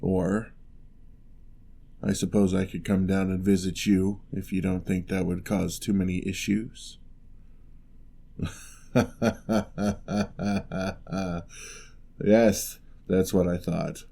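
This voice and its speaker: Guy doing a big gentle giant for asmr roleplay, low mellow voice, wry and kinda lonely. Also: flat delivery, gravelly, slightly rough, full character voice. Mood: deliberate, offering, then softly companionable. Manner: unhurried, measured, wry, slightly formal phrasing.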